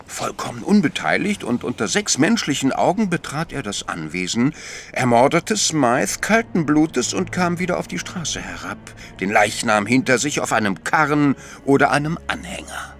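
An animated, astute priest detective. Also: male voice, medium pitch, soft background music. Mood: calm, explaining. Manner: deliberate, explanatory, lecturing. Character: astute priest detective, patient, gently chiding. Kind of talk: German audio drama dialogue.

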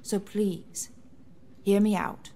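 A woman playing a composed, earnest noble rebel leader who speaks formally and gravely in a science fiction audio drama. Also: medium pitch, level tone, takes it slowly, warm tone, a little hiss or hum, lightly acted. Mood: pleading.

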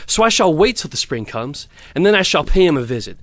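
A pompous, self-righteous miller in an audio drama. His voice sits fairly high and swings widely in pitch as he moralizes with smug satisfaction. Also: very animated, brisk, fairly bright tone. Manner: pompous, sententious. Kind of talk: theatrical audio drama acting.

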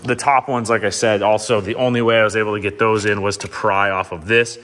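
A man's voice: on the low side, steady tone, fairly quick, fairly bright tone. A man in his thirties giving a casual, candid hands-on install walkthrough to camera in a garage workshop. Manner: candid, informal, explanatory. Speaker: mechanic and video host. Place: roomy indoor garage workshop. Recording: echoey garage, clear background noise, clicks.

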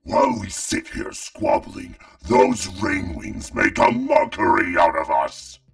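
Gravelly, deep, menacing dragon warlord. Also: male voice, wildly swooping pitch, takes it slowly, dry recording, recorded from a distance, soft background music, heavily acted. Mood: angry, commanding. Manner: thunderous, forceful, imperious. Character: menacing, commanding male dragon war leader. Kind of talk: audio drama acting.

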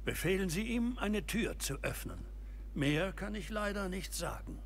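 Old wizard in a fantasy game, creaky voice thats kinda high and lilting, grave and formal. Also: male voice, very animated, measured pace, a little hiss or hum, heavily acted. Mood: grave, measured. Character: old wizard keeper speaking gravely from a portrait. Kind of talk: scripted video game dialogue.

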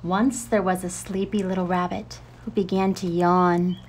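Low-voiced woman reading a children's story. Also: little pitch movement, normal speed, a little hiss or hum. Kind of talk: expressive children's picture book reading. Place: outdoors on a paved porch.

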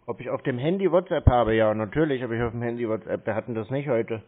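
A man's voice: mid-range voice, fast talker. Man on a tinny phone line. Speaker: scam-baiting show host posing as a customer on the phone. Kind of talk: casual phone conversation.

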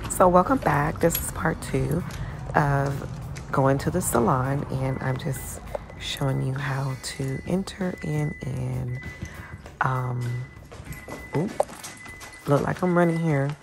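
A woman's voice: extremely low pitch, slow pace, gravelly, pauses often, over music. A woman giving a casual, rambling walkthrough of how she cleans her salon, often losing her train of thought.

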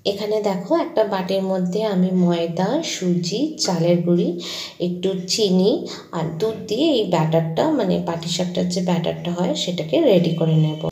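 A woman's voice: lowish voice, roomy sound. A woman in her thirties with a West Bengal Bengali accent chats casually to viewers, conversational and mixing in English words. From India. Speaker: home vlogger and mother. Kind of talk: casual vlog chat to viewers. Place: small home rooms and kitchen, indoors. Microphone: phone at arm's length. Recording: clear background noise, handheld phone.

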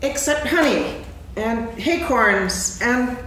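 A bouncy, eager young tiger with a high, lively voice and a slow, drawn-out delivery. He sounds caught from far across a big, echoing hall. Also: slight background noise, heavily acted. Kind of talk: staged reading of a stage play.

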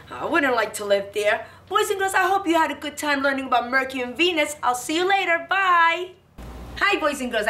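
A woman with a fairly high voice speaks to preschoolers in an animated, simple teaching manner, often asking questions. The room echoes and she sounds a little away from the microphone, with music in the recording. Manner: animated, simple child-directed talk with repeated questions. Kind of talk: children's lesson and read-aloud. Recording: roomy, echoing, distant microphone, faint music.

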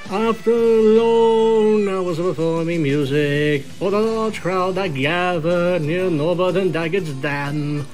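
Guy narrating a cartoon story like a storybook, kinda high voice, really slow and theatrical. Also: low-quality recording. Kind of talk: scripted storybook-style narration of a cartoon fanfiction. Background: music behind the voice.

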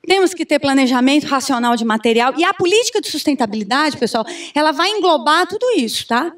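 A Brazilian woman in her thirties giving an animated conference talk on a handheld mic, often asking the audience questions. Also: high voice, quick pace. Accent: Brazilian Portuguese. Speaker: conference speaker. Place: large conference room with audience. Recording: distant room sound from far camera.

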